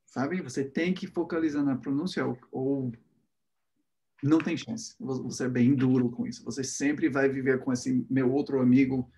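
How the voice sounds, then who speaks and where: A man's voice: medium pitch, steady tone, normal speed, dark tone. A man whose first language is English, an English teacher hosting a casual chat in Portuguese, playfully acting out words and pronunciations.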